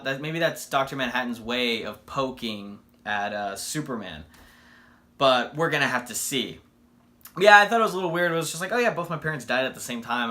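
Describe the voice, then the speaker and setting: A man with a very bright, forward voice at a middle pitch hosts a casual comic review. He talks enthusiastically and conversationally, as if chatting with fellow fans, and often throws out rhetorical questions. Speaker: comic book reviewer and video host. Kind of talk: casual, unscripted fan review to camera.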